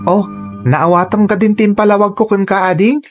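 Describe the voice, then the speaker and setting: A performer acting a caring young older brother in a radio drama, gentle and respectful. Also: medium pitch, fairly even intonation, moderate pace, loud music behind the voice, lightly acted. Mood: concerned.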